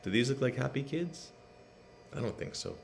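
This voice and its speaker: Male storyteller for children's read-aloud; lively, swooping intonation, emphatic, chatty asides to young listeners. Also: medium pitch, normal speed, gravelly, slight background noise. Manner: animated, emphatic, explains to listeners.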